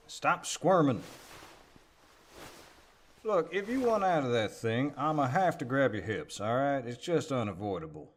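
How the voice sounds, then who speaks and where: A man playing a polite, teasing cowboy neighbor in an audio roleplay, with a deep voice and a hesitant Southern US drawl. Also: very animated, a little slow, pauses often, slight background noise. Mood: exasperated, helpful. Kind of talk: audio roleplay, intimate one-sided dialogue.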